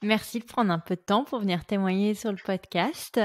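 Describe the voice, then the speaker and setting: A woman's voice: medium pitch, moderate pace. A woman hosting a podcast interview, asking friendly, prompting questions. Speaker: podcast host.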